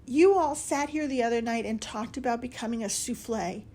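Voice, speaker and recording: Storybook role: woman voicing a meek, tearful bread boy, higher register. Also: normal speed, mellow, close to an everyday voice. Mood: hurt. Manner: meek, tearful, self-pitying. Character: timid lonely slice of bread, young male.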